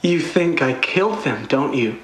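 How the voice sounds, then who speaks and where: A man playing a haunted young musician accused of murder, pleading and halting in an audio drama. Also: mid-range voice, lively intonation, natural voice. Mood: defensive. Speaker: radio interview caller. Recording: echoey, with loud music behind. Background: loud music.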